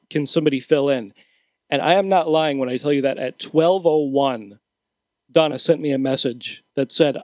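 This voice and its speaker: A man's voice: mid-range voice, darkish tone, dry recording. A man hosting a podcast, telling a story in an earnest, casual way. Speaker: podcast host.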